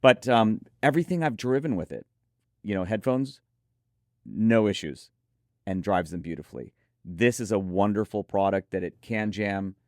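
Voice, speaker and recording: A man's voice: on the low side, expressive, moderate pace, dry recording, clean studio sound. A man reviewing audio gear in a conversational, effusive and emphatic way. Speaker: product reviewer and presenter.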